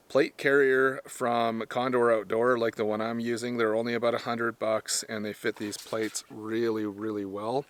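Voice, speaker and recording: A man with a hoarse voice from smoke, talking casually to camera about gear he sells, rambling and correcting himself as he goes. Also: lowish voice, no room sound. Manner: rambling, self-correcting, plain-spoken. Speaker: firearms gear seller and trainer. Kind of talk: casual product talk to camera.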